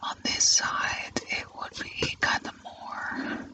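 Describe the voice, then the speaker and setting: Guy doing asmr drawing commentary, all whispered, really slow and thinking out loud. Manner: hushed whispering, unhurried, musing aloud. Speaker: ASMR artist, host.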